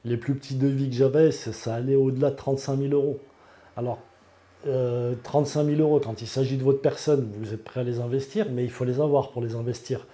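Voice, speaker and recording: A man's voice: mid-range voice, steady tone, a little fast, mellow. A man, giving an unscripted testimonial as a patient in an earnest, emphatic way. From Lyon, France. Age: 40s.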